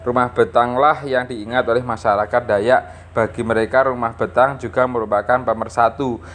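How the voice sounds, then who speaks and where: A man's voice: medium pitch, fairly bright tone. A man teaching a lesson, reading from a textbook and explaining, often adding little checking tags. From East Java, Indonesia. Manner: didactic, punctuates phrases with checking tags. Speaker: school teacher.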